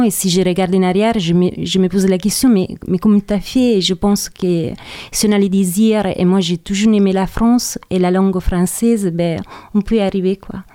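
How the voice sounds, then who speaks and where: Hesitant Italian woman with a warm, clear voice. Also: mid-range voice, steady tone, moderate pace, no echo, clean studio sound. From southern Italy. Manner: hesitant, many fillers and repeated words. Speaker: guest. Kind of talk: radio interview.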